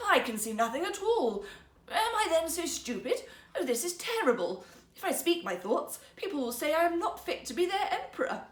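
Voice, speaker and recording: A woman's voice: very high-pitched, very animated, moderate pace, echoey room, distant mic, pitched above the performer's own voice. A woman voicing a vain, anxious emperor in a regal, exclaiming, theatrical manner for a fairy tale. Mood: dismayed. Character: vain, anxious male emperor hiding his doubts.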